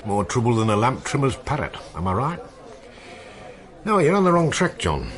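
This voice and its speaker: A man's voice: mid-range voice, huge pitch swings, normal speed. An actor playing a seasoned, wistful seaman in a radio drama, telling tales in a musing, colloquial way. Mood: wry. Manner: musing, colloquial storytelling with seafaring turns of phrase. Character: seasoned old seaman, wistful, salty storyteller.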